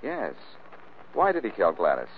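An actor playing a cool, sardonic amateur detective in the style of a 1940s radio drama. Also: male voice, low voice, wildly swooping pitch, moderate pace, slightly rough, full character voice. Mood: probing, wry. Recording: muffled, faintly noisy old radio recording.

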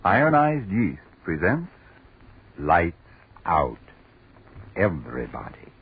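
A man with an American accent announcing from a script in emphatic, deliberate 1940s radio style. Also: low voice, huge pitch swings, vocal fry, background noise. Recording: dull 1940s radio recording.

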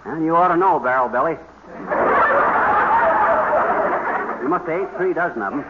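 An actor playing a blustery, folksy middle-aged husband who teases in ungrammatical talk, in 1940s radio comedy style. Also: male voice, a little high, rapid-fire, close to an everyday voice. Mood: boastful, teasing. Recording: dull, noisy old radio recording.

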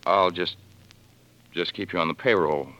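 An actor playing an awkward, protective, well-meaning gentleman in a hesitant, earnest 1940s radio drama style. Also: low-pitched, normal speed. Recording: muffled old 1940s radio broadcast.

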